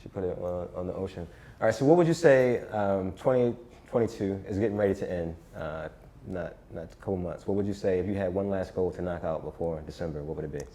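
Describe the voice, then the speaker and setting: A man's voice: sits low, fast, warm tone. A man hosting a casual interview, often repeating words as he starts his sentences. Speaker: host, interviewer.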